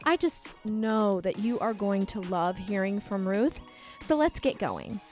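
A woman co-host reading a guest's introduction, adding lively asides to the script. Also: medium pitch, a little slow, soft background music. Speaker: podcast co-host.

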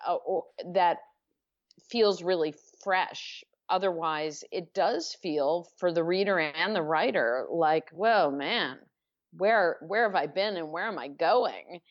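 A woman author answering interview questions thoughtfully, circling back with restarts and fillers. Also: fairly low pitch. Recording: dull lo-fi audio.

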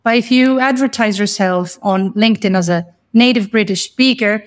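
A woman's voice: mid-range voice, moderate pace. A woman, an English teacher, speaking as a guest in an interview, arguing her point passionately and with emphasis. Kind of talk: conversational interview.

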